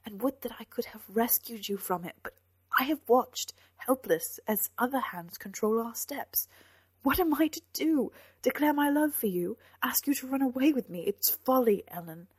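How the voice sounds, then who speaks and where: A weary male knight torn by love, performed by a woman reader, husky and grave. He holds himself back, then breaks into forceful, tormented declarations, in dry, dramatic storybook style. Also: medium pitch, fairly quick, hardly any pauses, no echo. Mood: impassioned. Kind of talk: dramatic audiobook character acting.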